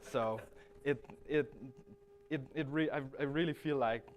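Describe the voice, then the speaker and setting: A man's voice: fairly high pitch, a little slow, slight background noise, poor audio. Hesitant Danish man giving a talk. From Denmark. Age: 30s. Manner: hesitant, frequent restarts and fillers. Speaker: guest speaker. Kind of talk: conference presentation.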